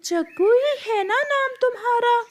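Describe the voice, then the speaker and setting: Orphanage keeper bird for a cartoon, played by a woman, very high voice, knowing, frank and emphatic. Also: lively intonation, unhurried. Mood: frank, revealing. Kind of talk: Hindi cartoon story acting.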